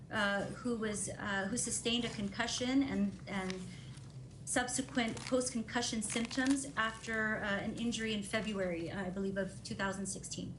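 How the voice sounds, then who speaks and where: A woman in her forties from Honolulu giving formal testimony as a neuropsychologist, speaking hesitantly and matter-of-factly. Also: fairly high pitch. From Honolulu, Hawaii. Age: 40s. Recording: echoey room, faint noise, video call.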